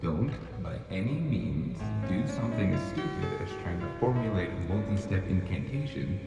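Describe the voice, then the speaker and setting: A man's voice: deep voice, animated, moderate pace, vocal fry, over loud music. A man voicing a wary, streetwise young male magic apprentice in an audio drama, casual and sarcastic. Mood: skeptical. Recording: roomy distant sound.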